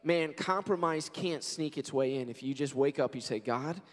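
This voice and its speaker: A man in his forties, a pastor, preaching a sermon in an earnest, conversational way and telling stories, his voice hoarse and scratchy. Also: on the high side, moderate pace. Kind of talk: sermon with prayer and scripture reading. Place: church sanctuary. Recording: long reverb of a large church hall.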